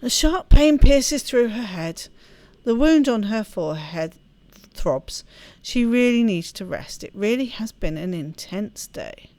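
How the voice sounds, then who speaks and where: A woman's voice: on the high side, big pitch swings, measured pace, a little mellow, no room sound. A woman hosting a gaming video, chatting casually and reading story text aloud with expression. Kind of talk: let's play chat and expressive read-aloud narration.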